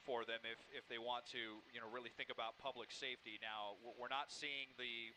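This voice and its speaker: A man working as a helicopter news reporter, giving halting, improvised live commentary on a police pursuit. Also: medium pitch, fairly even intonation, sharp tone.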